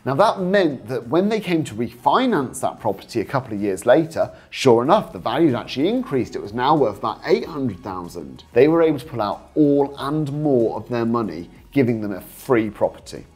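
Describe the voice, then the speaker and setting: A man's voice: medium pitch, very animated, fairly quick, few pauses, a little mellow, breathy edge. A British man presenting as a coach, explaining things informally and persuasively to viewers with frequent rhetorical questions. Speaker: host, property investing coach. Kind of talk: informal instructional talk to viewers.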